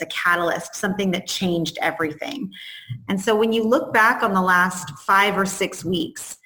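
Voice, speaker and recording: A woman's voice: medium pitch, fairly bright tone, poor audio. A woman co-hosting a podcast, asking interview questions and encouraging her guest with frequent mm-hmms.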